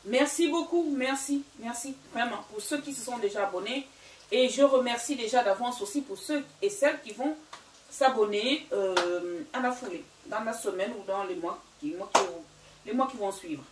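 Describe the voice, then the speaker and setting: A woman's voice: a little high, far from the mic, a little hiss or hum. Chatty woman, echoey kitchen. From Gabon and Equatorial Guinea. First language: Fang or another local language of Equatorial Guinea. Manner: chatty, hesitant, many fillers. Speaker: cooking channel host. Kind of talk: casual cooking vlog talk. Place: home kitchen.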